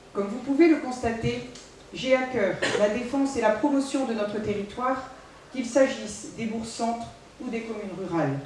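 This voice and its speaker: A woman gives a formal political address. The sound is heavily reverberant and somewhat distant, as in a large hall. She phrases each point deliberately, like an official reading prepared remarks. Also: a little high, a little mellow. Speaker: local politician, intercommunal council president. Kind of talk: formal prepared political speech read aloud. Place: large village hall, indoors. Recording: very echoey hall, distant mic, faint noise.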